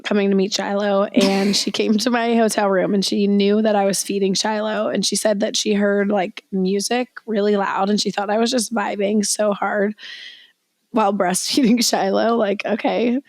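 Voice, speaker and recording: A young woman co-hosting a podcast, hoarse and raspy from losing her voice, chatting casually with lots of "like" fillers. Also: mid-range voice, level tone, moderate pace, a little mellow, dry recording. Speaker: podcast co-host. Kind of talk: casual chat.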